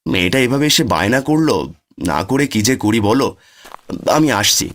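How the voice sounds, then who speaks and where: A man's voice: mid-range voice, expressive, normal speed, fairly bright tone, lightly acted. A man voicing a poor, doting village father in a cartoon, humble and coaxing. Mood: puzzled, indulgent.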